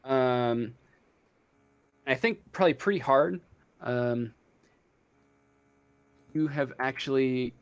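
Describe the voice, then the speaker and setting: A man acts as game master in a casual tabletop session. He speaks slowly at a middling pitch, making up the narration as he goes with a hesitant, halting delivery. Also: smooth.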